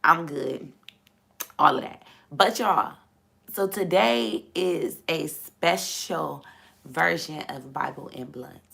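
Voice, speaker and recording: Hoarse woman with a gravelly low voice. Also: pushed and bright, a little hiss or hum. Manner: repetitive, emphatic, preachy. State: voice gone, hoarse. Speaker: host. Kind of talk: casual faith talk livestream.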